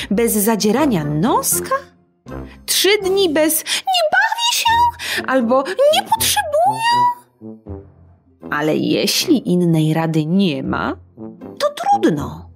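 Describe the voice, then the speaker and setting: A woman reading a children's story aloud in an expressive storytelling manner. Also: lowish voice.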